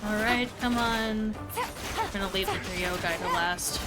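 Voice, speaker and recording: A woman's voice: medium pitch, a little slow. A woman live streamer giving casual gameplay commentary over game audio. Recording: loud game music and crashes behind.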